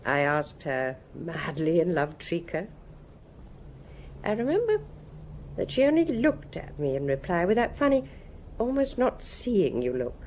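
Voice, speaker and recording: A woman's voice: lowish voice, huge pitch swings, measured pace, pauses often, a little mellow, slight background noise, heavily acted, rough recording. A woman playing a shrewd, knowing, middle-aged nightclub owner in a radio detective drama. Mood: wry, curious. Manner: knowing, inquisitive. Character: shrewd, worldly fifty-year-old Parisian nightclub madame.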